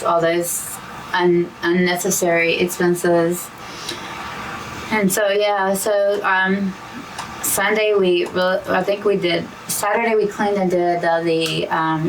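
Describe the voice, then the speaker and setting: A woman co-hosting a casual hobby chat, hoarse and losing her voice, rambling with frequent restarts. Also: on the low side, level tone, takes it slowly, distant mic, slight background noise, poor audio. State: hoarse, losing her voice from talking too much. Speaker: co-host of a diamond painting channel.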